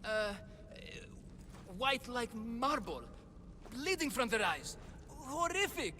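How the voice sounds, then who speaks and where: An actor playing a nervous, theatrical swindler in a video game, stammering through hesitant sales patter. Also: male voice, very high voice, sing-song, slow pace, pushed and bright, a little hiss or hum, full character voice. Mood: fearful. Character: nervous, theatrical swindling huckster, a frightened father. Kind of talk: video game character acting. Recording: music behind the voice.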